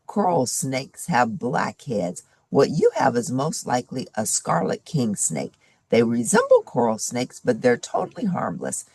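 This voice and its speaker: A woman's voice: extremely low pitch, huge pitch swings, unhurried, gravelly, darkish tone, breathy edge, no echo, full character voice. A woman voicing a friendly, knowledgeable male snake handler from a children's storybook, easygoing, folksy and keen to explain. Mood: businesslike, reassuring.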